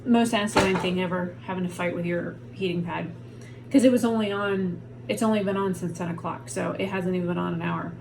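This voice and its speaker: A frank, rambling woman talks to her camera from home while she is in pain, and her voice sits a little low. The home recording carries a clear layer of background noise under her talk. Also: steady tone, brisk. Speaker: vlogger. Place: at home on a heating pad.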